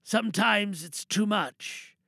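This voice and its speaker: Slow, creaky, remorseful man acted. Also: high voice, bright, forceful tone, no room sound, clean studio sound, full character voice. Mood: wistful, guilty. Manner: halting, reflective, plain-spoken. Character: remorseful man confessing to having taken a woman's life. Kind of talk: dramatic audio monologue.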